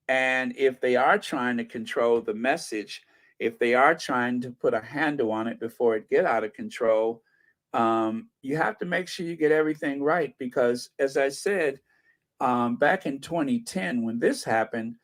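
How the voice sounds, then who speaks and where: An older man hosting a commentary channel, talking with a hoarse, scratchy voice and dry sarcasm. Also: medium pitch. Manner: wry, sarcastic asides. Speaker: channel host. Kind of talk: casual commentary, reading viewer comments.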